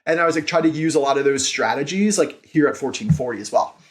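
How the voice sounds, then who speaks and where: A man's voice: a little high, fast. An American man in his thirties telling career stories in a casual interview, rambling and earnest, with "like" peppered through his sentences. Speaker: podcast guest, company founder and CEO.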